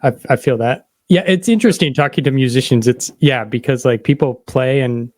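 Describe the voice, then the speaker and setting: A man's voice: medium pitch, brisk, darkish tone, dry recording, studio quality. A man hosting a podcast interview in a casual, conversational style. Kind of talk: casual chat.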